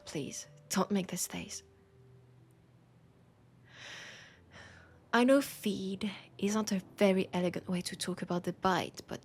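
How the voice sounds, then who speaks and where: Woman acting as a french accented bookshop lady, soft n teasing, kinda whispery, cozy audio roleplay, bit of music under it. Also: medium pitch. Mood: teasing, intimate. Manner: soft, playful, often dropping to whispers. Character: teasing, warm young-sounding human bookshop keeper, chatting to vampire. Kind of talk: intimate first-person audio roleplay.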